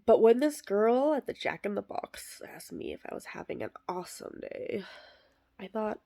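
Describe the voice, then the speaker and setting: A woman voicing a bitter, sardonic middle-aged male horse actor, delivering a comic eulogy monologue in a deadpan, wry way. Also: mid-range voice, normal speed, vocal fry. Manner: deadpan, self-deprecating, wry.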